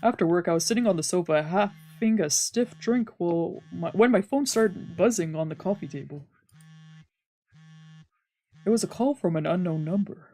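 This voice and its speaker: A woman's voice: fairly low pitch, animated, normal speed, mellow. A woman streamer reading scripted first-person visual novel narration aloud. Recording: music behind the voice.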